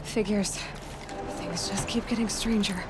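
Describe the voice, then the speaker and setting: An actress playing a bold, curious young huntress who talks to herself wryly. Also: female voice, mid-range voice, fairly even intonation, unhurried, lightly acted. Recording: game audio with loud music.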